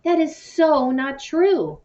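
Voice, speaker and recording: A woman's voice: high-pitched, big pitch swings, slow pace, dark tone, voice pushed up, full character voice. A woman voicing a frustrated, emotional schoolboy in a children's novel read aloud. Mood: indignant. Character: frustrated, emotional schoolboy under parental pressure. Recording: distant, muffled microphone.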